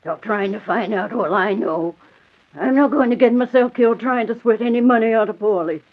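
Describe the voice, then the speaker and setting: A woman's voice: fairly high pitch, normal speed, natural voice. An actress playing a tough, wary elderly underworld woman in an old radio crime drama. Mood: defiant, irritated. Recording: tinny, narrow-band old radio recording.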